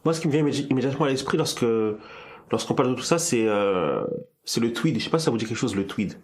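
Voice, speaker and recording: A man's voice: medium pitch, lively intonation, quick pace. A man hosting a casual discussion, thinking aloud with frequent restarts and repeated words. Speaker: podcast host.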